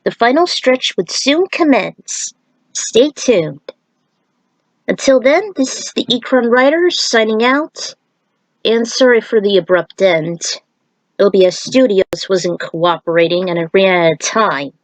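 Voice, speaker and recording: A woman narrates her own written commentary as a fan writer. Her delivery is opinionated, blunt and emphatic, in a mid-pitched voice. The recording is close and dry. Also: lively intonation, unhurried, no echo, low-quality recording. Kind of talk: reading out author's notes with opinionated rants.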